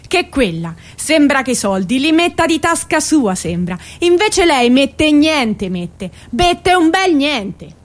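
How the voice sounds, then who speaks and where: A woman's voice: high-pitched, big pitch swings, slow, few pauses, heavily acted. A woman playing a coarse, aggrieved poor mother in a stage drama, ranting in a repetitive, foul-mouthed, colloquial way. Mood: indignant, boastful. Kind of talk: theatrical monologue acting.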